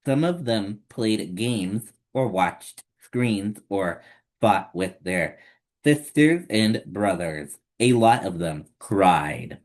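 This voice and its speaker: A man reads a picture book aloud as a storytime host for children. His voice sits low, and he takes the narration slowly, phrase by deliberate phrase. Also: expressive, a little mellow.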